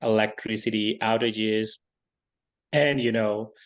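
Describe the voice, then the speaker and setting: A man in his thirties from Pakistan talks in a low voice over a video call from a village, giving steady, conversational answers. He leans on "you know" between thoughts, and the line sounds dull and lo-fi. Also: slow. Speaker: guest, tech and business consultant.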